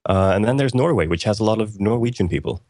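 Low-voiced man from Iceland, dry delivery, close dry sound. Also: animated, quick pace, a little mellow, no room sound. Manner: dry, matter-of-fact humor. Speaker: panelist. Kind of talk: casual panel chat.

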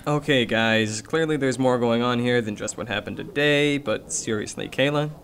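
A young actor playing an earnest, cautious teenage schoolboy in a zombie radio drama, understated in manner. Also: male voice, mid-range voice, moderate pace, a bit bright, close to an everyday voice.

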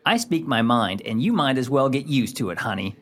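A man's voice: medium pitch, very animated, a little fast, fairly bright tone. An actor playing a surly, domineering man in a radio comedy, blunt and emphatic in his delivery. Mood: scornful, domineering. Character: surly, domineering man scolding the woman who adores him. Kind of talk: radio comedy play acting.

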